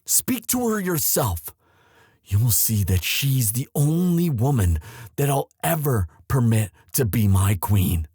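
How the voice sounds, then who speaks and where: A man playing an earnest, lovestruck young prince in an audio drama, speaking formally and haltingly as he implores his father. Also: medium pitch, sing-song, warm tone, breathy edge, no echo, studio quality, exaggerated voice. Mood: solemn, devoted. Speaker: voice actor in romance audio roleplay. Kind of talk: dramatic one-sided audio roleplay.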